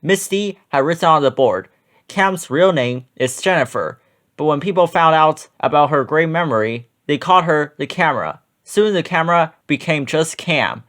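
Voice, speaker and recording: A man's voice: a little high, normal speed, hardly any pauses, a bit bright. A man narrating a children's storybook as a read-aloud.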